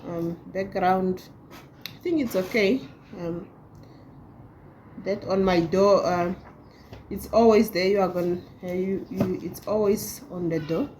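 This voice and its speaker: A woman's voice: on the low side, darkish tone, a little hiss or hum. A thirty-year-old woman vlogging at home, talking casually with many restarts. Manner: halting, with many restarts and laughs. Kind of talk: casual talk to camera.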